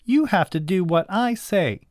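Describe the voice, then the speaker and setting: A storybook narrator voicing a bossy, grumpy young girl who gives sharp, demanding orders. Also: medium pitch, expressive, unhurried, no echo, clean studio sound, voice pushed up, natural voice. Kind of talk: children's storybook character voice.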